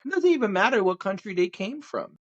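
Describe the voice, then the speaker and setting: A young adult man from Brooklyn, New York, co-hosting a podcast and talking at length in an animated, emphatic way. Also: high-pitched, a little fast, dull audio. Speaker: podcast co-host. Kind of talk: casual chat.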